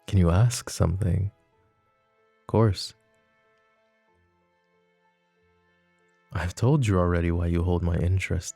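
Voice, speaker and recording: Guy playing a low mellow mafia boss for asmr roleplay, soft n teasing, possessive, kinda world-weary. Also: creaky, slightly rough, dry recording, heavily acted. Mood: amused, candid. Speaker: voice actor. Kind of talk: intimate ASMR roleplay acting.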